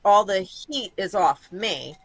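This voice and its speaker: A woman's voice: mid-range voice, expressive, takes it slowly, slightly rough, soft background music. A woman, chatting bluntly and rambling as a guest in an interview. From Massachusetts, USA. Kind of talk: candid casual interview chat.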